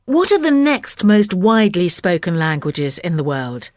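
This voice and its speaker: A woman's voice: fairly low pitch, sing-song, unhurried, no room sound, clean studio sound. A woman reading scripted questions in a textbook audio dialogue, curious and inquiring.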